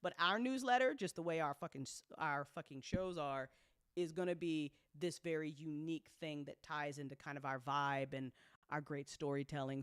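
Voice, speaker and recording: A woman's voice: low voice, clean tone, dry recording, clean studio sound. A woman talking casually and off the cuff as an audio drama producer updating fans, candid, colloquial and full of swearing, emphatic when she makes a point.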